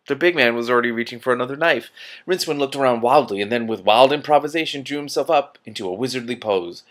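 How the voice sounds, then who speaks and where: A man reading a story aloud in an animated, expressive way, correcting himself and adding his own commentary. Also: medium pitch, brisk. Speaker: reader and commentator.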